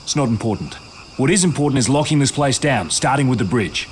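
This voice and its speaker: Casting: man playing take-charge game survivor, terse and firm, mid-pitched, faint night insects behind. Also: lively intonation, fairly quick, a little hiss or hum, natural voice. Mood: determined. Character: terse, businesslike male survivor taking charge. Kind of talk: video game cutscene acting.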